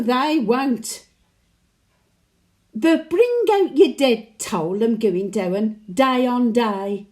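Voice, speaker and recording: A woman's voice: fairly high pitch, mellow. A woman playing a chatty, sentimental elderly Birmingham widow with a Brummie accent, reminiscing in a folksy, plain-speaking monologue. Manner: folksy dialect, wistful and chatty. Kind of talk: dramatic monologue, conversational reminiscence.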